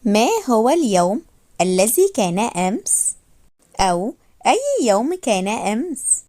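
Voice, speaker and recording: A woman teaches beginner phrases in a raised, animated voice. She says each phrase slowly, repeats it, and then gives a quick translation. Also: on the high side. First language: Arabic. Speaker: language teacher. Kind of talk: slow phrase drilling for learners.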